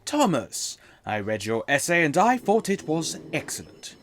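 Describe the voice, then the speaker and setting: A man's voice: a little high, wildly swooping pitch, unhurried. A man playing a friendly, enthusiastic college teacher in an amateur audio drama. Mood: encouraging.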